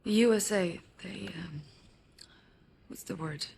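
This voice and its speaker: Earnest Polish woman, mid-pitched, accented halting English, resolute, game drama, slight background noise. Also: vocal fry, darkish tone. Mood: gentle, serious. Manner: halting English, searching for words. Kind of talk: cinematic video game acting.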